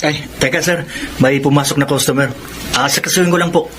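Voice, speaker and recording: An actor playing a polite, keen shop employee in a Filipino radio drama. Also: male voice, a little high, fairly even intonation, fairly quick, close to an everyday voice. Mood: polite, eager. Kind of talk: Tagalog radio drama acting. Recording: noisy ambience behind the voice.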